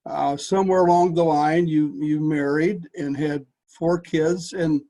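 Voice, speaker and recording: A man interviewing a guest over a video call, halting with fillers and restarts. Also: fairly high pitch, steady tone, dark tone. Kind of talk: interview questions.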